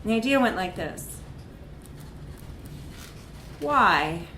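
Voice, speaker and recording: A woman reading a comic short story aloud in a live literary reading, with expressive, dramatic emphasis. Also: medium pitch, takes it slowly. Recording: echoey room with background noise.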